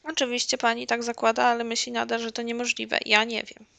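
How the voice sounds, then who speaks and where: Girl doing casual lets play commentary, crackly fry, really dry close mic. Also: on the high side, completely flat delivery, quick pace, pushed and bright, no echo. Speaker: gameplay streamer. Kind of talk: casual gameplay commentary, retelling and translating.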